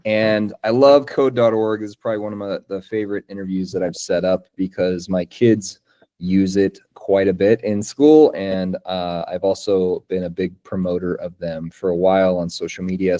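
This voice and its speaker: A man's voice: sits low, fairly even intonation, moderate pace, mellow. A man in his thirties hosting an interview podcast, friendly and enthusiastic, with many fillers. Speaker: podcast host.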